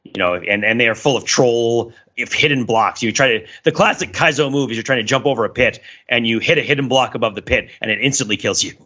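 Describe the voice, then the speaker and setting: Bright-voiced man rambling through explanations. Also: mid-range voice, quick pace, hardly any pauses, dull audio. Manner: rambling, discursive explainer who often checks with "right?". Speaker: podcast co-host. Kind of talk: casual explanatory chat.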